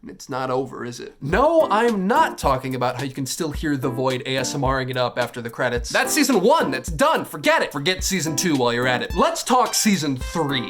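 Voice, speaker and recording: Animated man narrating a video essay over music. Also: fairly high pitch. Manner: theatrical, emphatic, jokey, self-interrupting. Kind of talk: scripted video essay narration with comic asides.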